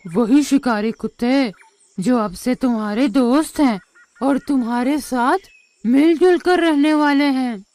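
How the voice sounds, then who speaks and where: Woman as slow-talking clever cartoon rooster. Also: sits high, a little mellow. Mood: teasing. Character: clever, cool-headed teasing male rooster. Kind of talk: children's animated moral story acting.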